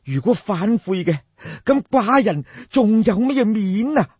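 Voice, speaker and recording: A man's voice: high-pitched, animated, a little slow, breathy edge, dry recording, muffled recording, voice pushed up, heavily acted. A man voicing an anxious, easily alarmed king in an audiobook, stammering and repeating himself as he exclaims. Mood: alarmed, indignant.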